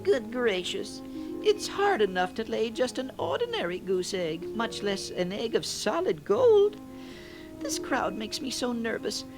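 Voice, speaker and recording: A man's voice: very high-pitched, very animated, unhurried, voice pushed up, exaggerated voice. A man voicing a shy, humble female goose on a children's storybook record, fretful and nervous. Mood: flustered, nervous. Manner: fretful, fussy. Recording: vintage record with music behind.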